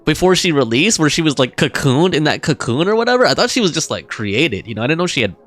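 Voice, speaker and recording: Low-voiced, animated woman streamer. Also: fast. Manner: slangy, wondering aloud. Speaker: game streamer. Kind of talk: casual live reaction commentary.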